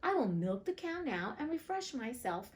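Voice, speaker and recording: A woman's voice: sits high, big pitch swings, normal speed, voice pushed up. A woman voicing a naive, easily pleased young fairy-tale man, musing earnestly and with self-satisfaction. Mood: content, eager.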